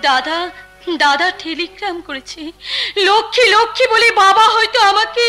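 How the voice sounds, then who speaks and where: A devoted young housewife in a folk stage melodrama. Her voice is very high and bright, pleading and tearful in a declamatory way, with music playing behind. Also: lively intonation, normal speed, full character voice. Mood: grief-stricken. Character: devoted, distressed young housewife, anxious for her dying father. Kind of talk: Bengali jatra folk-theatre melodrama.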